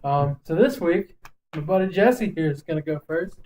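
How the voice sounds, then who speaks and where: Higher-voiced man chatting on a podcast. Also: expressive, moderate pace, dark tone, slight background noise, low-quality recording. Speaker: podcast co-host. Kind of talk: casual podcast chat about movies.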